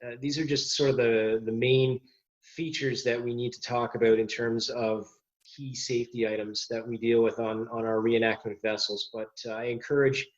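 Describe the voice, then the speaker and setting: A man gives an informal instructional presentation in a medium-pitched voice, explaining step by step in a plain, slightly halting way. The recording is dull and lo-fi. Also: little pitch movement. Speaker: presenter giving a safety lesson to boat reenactors.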